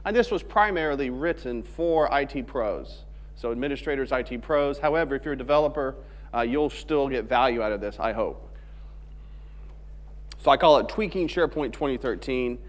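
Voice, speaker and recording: A man's voice: medium pitch, moderate pace. A man from Alabama giving a technical conference talk in a joking, conversational way. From Alabama, USA. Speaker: conference speaker. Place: large conference hall stage. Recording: long hall reverb on stage mic.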